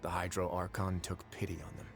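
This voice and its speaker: An actor playing a composed, wry young duke in a video game, speaking in a deliberate, measured storytelling manner. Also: male voice, deep voice, completely flat delivery, soft background music, close to an everyday voice. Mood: calm, measured. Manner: deliberate, dryly wry, unhurried. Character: composed, wry young duke who runs an underwater prison fortress.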